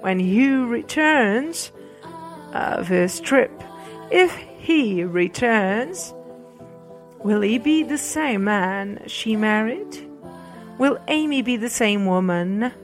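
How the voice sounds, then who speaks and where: A woman's voice: a little high, expressive, slow pace. A woman whose native language is Portuguese, hosting a podcast and reading book recommendations aloud in a hesitant way, with fillers and restarts. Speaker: podcast host. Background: soft music.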